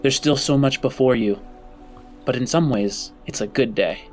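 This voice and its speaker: A man narrating a tabletop roleplaying game as game master, with dramatic pauses and deliberate phrasing. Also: medium pitch, soft background music.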